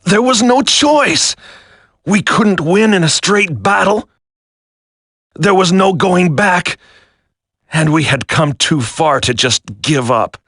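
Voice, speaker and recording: A man's voice: high-pitched, expressive, slow, long pauses, a bit bright, dry recording, studio quality, full character voice. A man playing a guilt-ridden, embittered soldier turned terrorist, speaking solemnly in a dramatic video game. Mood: defensive, pleading.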